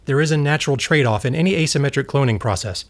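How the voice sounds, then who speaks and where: A man's voice: mid-range voice, quick pace, lightly acted. An actor playing an earnest male scientist in an audio drama, speaking in a precise, explanatory way. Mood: grave, urgent. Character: earnest male scientist, husband absorbed in his work.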